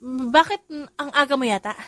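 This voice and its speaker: A woman's voice: high voice, moderate pace, a bit bright, dry recording. A woman reading the part of a proud, plucky young woman who gets flustered easily, in an audio romance. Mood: nervous.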